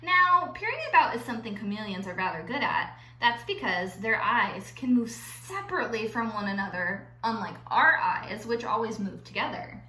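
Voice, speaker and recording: A woman teacher reading aloud to children in an expressive, playful way, drawing out words for emphasis and adding chatty asides. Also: on the high side, normal speed, few pauses. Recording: roomy echo with faint background noise.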